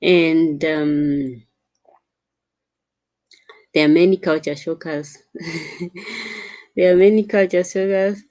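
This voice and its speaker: Young African woman vlogger, unhurried lowish voice, chatty live stream on her phone. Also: fairly even intonation, a little mellow. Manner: slow, chatty, laughs often, addresses viewers as sister. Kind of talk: late-night live stream chat, answering viewer comments.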